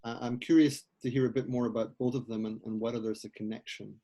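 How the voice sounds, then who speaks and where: A man hosts a thoughtful conversation. His voice sits at an ordinary middle pitch, and he asks his questions tentatively, exploring ideas aloud as he goes. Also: level tone, quick pace, mellow. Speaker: host. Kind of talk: conversational interview.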